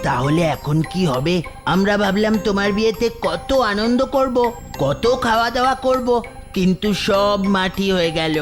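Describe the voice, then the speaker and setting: A woman acts a young schoolchild from an animated show, earnest and pleading. Clear music plays in the background. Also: mid-range voice, normal speed, lightly acted. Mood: worried. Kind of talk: animated cartoon acting.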